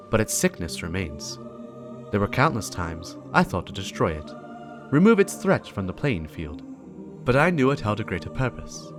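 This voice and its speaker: A man playing a grave veteran warrior and mentor, giving a measured, oratorical dramatic reading of game lore with weighty pauses. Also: sits low. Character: solemn veteran warrior mentor passing on a cursed weapon.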